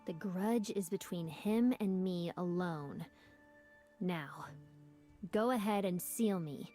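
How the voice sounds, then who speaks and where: A woman voicing a sealed female Hypogean demon in a game, calm and lightly teasing. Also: on the low side, slow pace, faint music behind. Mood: resigned. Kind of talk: game voice acting.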